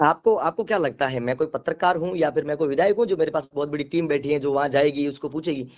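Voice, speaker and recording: A man with a somewhat high voice answers in a phone interview. He is emphatic and defensive, repeating phrases to press his point. The call recording is dull and lo-fi. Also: quick pace, darkish tone. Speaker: devotional singer being interviewed. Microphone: telephone.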